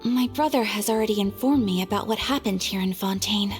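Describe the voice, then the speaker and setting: A woman's voice: medium pitch, a little fast, over music, natural voice. A woman playing an eager, confident and helpful young woman in an anime game. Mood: curious, encouraging.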